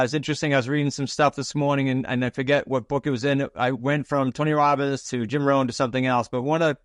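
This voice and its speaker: Man, podcast host, informal, emphatic, mid-pitched voice, dry close recording. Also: no echo. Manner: informal, emphatic with repeated words. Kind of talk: upbeat podcast intro and interview chat.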